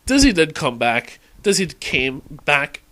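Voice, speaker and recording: A man's voice: a little high, big pitch swings, unhurried, pushed and bright. A man talking casually and unscripted as a game fan in an audio log, hesitating, rambling and correcting himself as he reminisces.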